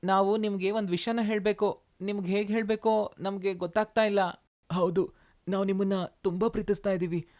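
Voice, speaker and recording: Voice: medium pitch, level tone, moderate pace, darkish tone, lightly acted. A narrator voicing an earnest, polite young male demon in human form for a story. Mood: confessing. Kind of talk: animated moral story dialogue.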